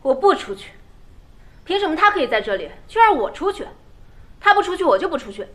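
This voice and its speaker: Indignant jealous girlfriend; high, expressive delivery. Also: a little fast, heavily acted. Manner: indignant, accusing. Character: jealous demanding young girlfriend.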